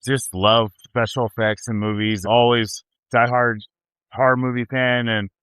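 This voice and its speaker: A man's voice: medium pitch, level tone, measured pace, fairly bright tone, clean tone, dry recording. A man from Texas, a convention attendee, talking casually and swearing freely about horror films.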